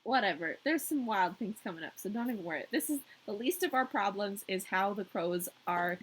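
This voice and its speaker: A woman's voice: mid-range voice, animated, fairly quick, a little hiss or hum. A woman hosting a story podcast, reading aloud with playful, irreverent casual asides.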